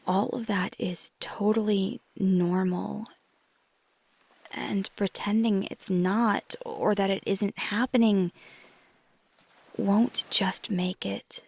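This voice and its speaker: A woman playing a caring, flirty female vampire lover in an intimate ASMR roleplay, speaking tenderly and reassuringly in a breathy, husky half-whisper. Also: medium pitch, a little mellow, exaggerated voice. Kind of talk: ASMR roleplay, intimate one-sided dialogue.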